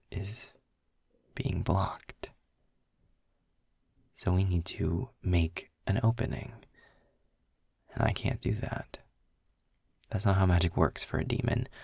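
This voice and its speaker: A low, gravelly demon played by a man talks slowly and deliberately, close and dry on the mic. He is sardonic and guarded, with a hidden caring side, in the measured style of intimate audio roleplay. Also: darkish tone, dry recording, heavily acted. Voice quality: husky, breathy, gravelly low voice. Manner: dry, measured, halting with pauses. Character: sarcastic, guarded male demon guide, secretly caring. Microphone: close studio-style microphone, dry.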